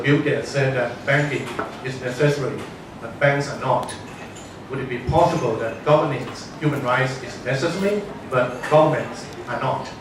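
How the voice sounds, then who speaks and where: Earnest man speaking from a far, echoing hall. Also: mid-range voice. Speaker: audience member asking a question. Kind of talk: question from the floor at a panel discussion. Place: large conference room. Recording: echoey hall from far off, noisy.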